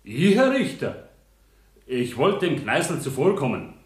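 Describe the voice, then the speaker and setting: An actor playing a dutiful police sergeant testifying deliberately in a radio play. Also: male voice, fairly high pitch, huge pitch swings, heavily acted. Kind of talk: German radio play courtroom acting. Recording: echoey, distant radio drama studio.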